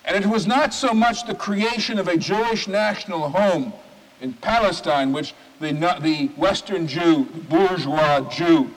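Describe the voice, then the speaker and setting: Man, rabbi, sermon, oratorical, emphatic pauses, somewhat high voice, long hall reverb.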